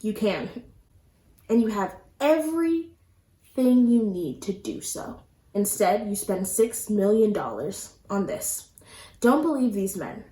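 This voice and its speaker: Female activist spokesperson, mid pitch, roomy echo, blunt and emphatic direct address. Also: sing-song, takes it slowly, dark tone. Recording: echoey room sound.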